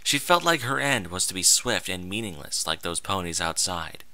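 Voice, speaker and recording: Man, story narrator, lowish voice, swooping intonation, theatrical delivery, very dry close sound. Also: animated, moderate pace, pushed and bright, no room sound. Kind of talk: dramatic scripted story narration.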